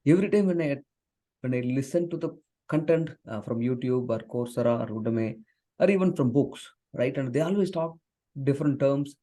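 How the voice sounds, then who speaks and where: A man's voice: mid-range voice, warm tone. A man in his forties from southern India whose first language is Tamil, speaking earnestly as an interview guest, with frequent fillers and restarts. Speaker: guest, software engineer and author. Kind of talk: conversational interview.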